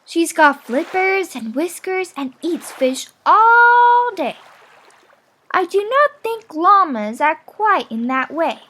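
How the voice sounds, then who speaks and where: Woman as a polite, high-voiced young storybook animal. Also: very animated, bright, forceful tone, full character voice. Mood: polite, explaining. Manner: polite, emphatic, slow explaining. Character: polite young storybook animals patiently explaining their mamas.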